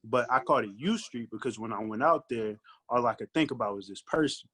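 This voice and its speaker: A man's voice: medium pitch, brisk, fairly bright tone. A man talking casually and conversationally in slang as a guest recording artist in an interview.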